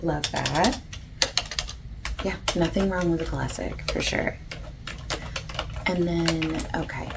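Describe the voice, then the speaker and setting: A woman playing a friendly, patient bakery salesperson in a soft-spoken ASMR roleplay, often breathy and near a whisper, gently overexplaining. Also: takes it slowly, pauses often, full character voice. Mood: soft, accommodating. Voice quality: low-pitched, creaky, soft. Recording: lo-fi hissy close recording, keyboard typing.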